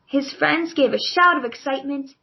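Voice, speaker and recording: A woman's voice: sits high, normal speed, creaky, dull audio. A woman narrating a children's storybook as a scripted read-along.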